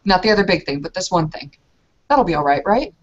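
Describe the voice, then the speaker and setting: A woman's voice: on the low side, animated, fairly quick, muffled recording. A woman narrating her character's actions in a tabletop roleplaying game, informal and hesitant as she improvises.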